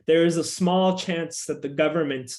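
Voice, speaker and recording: A young man, a featured poet, who talks in a relaxed, loose way with plenty of filler words and reads his poems with an emphatic, rising refrain. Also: on the high side, moderate pace. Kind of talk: casual chat and poetry reading.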